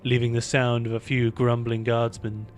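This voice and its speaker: A man's voice: medium pitch, monotone, moderate pace, soft background music. A male narrator reads a scripted fantasy tale. His voice sits in a medium register. The delivery is that of an audio drama storyteller.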